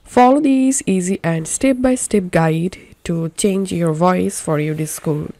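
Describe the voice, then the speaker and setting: A woman narrating a step-by-step software tutorial in a deliberate, instructional manner. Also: lowish voice, no echo. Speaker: tutorial presenter.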